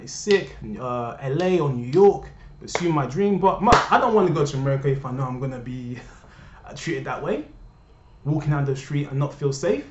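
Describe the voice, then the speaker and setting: A young man from Cameroon speaks straight to his viewers in an impassioned, repetitive home vlog. His voice sits at an ordinary pitch. It rings around a bare living room through a dull webcam mic. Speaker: vlogger. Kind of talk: earnest personal rant to camera. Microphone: webcam at desk. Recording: roomy, dull lo-fi webcam audio.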